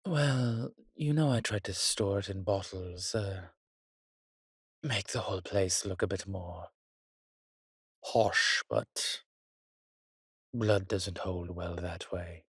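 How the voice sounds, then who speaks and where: Man playing a weary, low-voiced husky vampire. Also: fairly bright tone, no room sound, clean studio sound, exaggerated voice. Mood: dry, genteel. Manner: refined, slightly pompous, halting, sighing. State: weakened, recovering. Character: weary, wry, courtly male vampire sheltering a companion. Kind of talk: roleplay audio drama, speaking to the listener.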